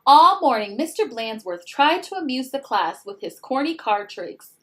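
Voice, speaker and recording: A woman reading a picture book aloud to young children in an expressive way, pausing to ask the listeners questions. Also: high voice. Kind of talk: animated children's read-aloud with asides to the listeners.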